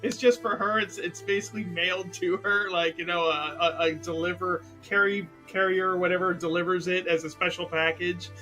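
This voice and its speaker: A man casually improvising narration in a tabletop roleplaying game, halting and repeating his words for emphasis. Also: sits high, steady tone, pushed and bright, faint music behind, poor audio.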